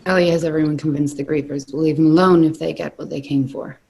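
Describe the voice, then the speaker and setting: Husky, creaky concerned young woman. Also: low voice, fairly even intonation, fast, warm tone, exaggerated voice. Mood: troubled, disbelieving. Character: concerned, doubting young woman among captive friends. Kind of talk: acted script table read.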